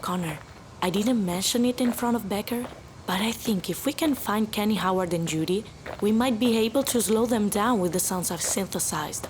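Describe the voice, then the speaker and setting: A woman playing a composed, measured female researcher with a concerned manner in an audio drama. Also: mid-range voice, slight background noise, natural voice, rough recording. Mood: concerned, earnest.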